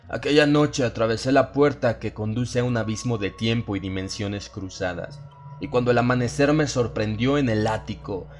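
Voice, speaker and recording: A man narrating a dramatized horror audiobook as a solemn, brooding first-person storyteller. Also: on the low side. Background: music behind the voice.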